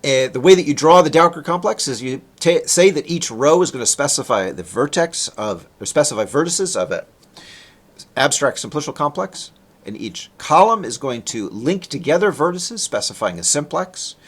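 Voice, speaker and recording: Man lecturing with lively, varied intonation. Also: fairly high pitch, moderate pace, breathy edge. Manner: explanatory, conversational, with rhetorical questions. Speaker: researcher presenting. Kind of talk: technical lecture with explanatory narration of slides.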